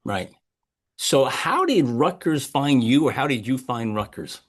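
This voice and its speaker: A man hosts a conversational interview podcast. His pitch sits in a middle range for a male voice. He talks informally and haltingly, repeating words as he works through his thoughts. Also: expressive, breathy edge. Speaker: podcast host.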